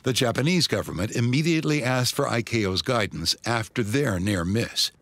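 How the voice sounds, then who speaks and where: A man's voice: medium pitch, expressive, moderate pace, fairly bright tone, dry recording. A man reading scripted, dramatic narration as the narrator of a documentary. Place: voice-over booth. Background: background score music.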